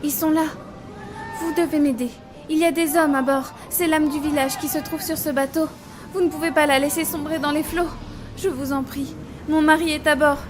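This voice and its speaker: A woman plays a desperate young wife in an audio fiction. Her voice is extremely high, insistent and imploring. Music plays clearly underneath her words. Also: steady tone, moderate pace, lightly acted. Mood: anxious, insistent. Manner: urgent, imploring. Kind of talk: audio drama acting.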